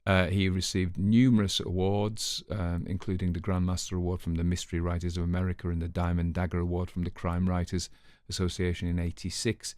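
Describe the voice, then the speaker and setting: A man's voice: low voice, monotone, brisk, a little mellow, no echo. A man hosting a story reading, giving informal literary commentary in a chatty manner with offhand asides. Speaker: host and story reader.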